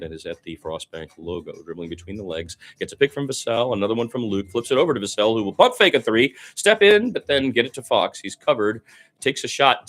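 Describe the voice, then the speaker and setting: A man's voice: fairly low pitch, a bit bright. Man calling live basketball play-by-play. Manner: detailed running description with short exclamations and opinionated asides. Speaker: radio play-by-play announcer.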